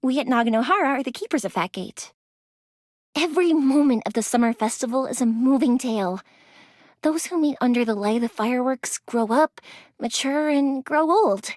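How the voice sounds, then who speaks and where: A bubbly young fireworks maker, high and bright, her voice bouncing all over as she chatters. She giggles easily and softens when a story turns serious. It is a dry, close studio recording for a game. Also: lively intonation, normal speed, no room sound. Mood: wistful. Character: cheerful young woman fireworks maker, playful and warm. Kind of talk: game character voice lines. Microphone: close studio mic.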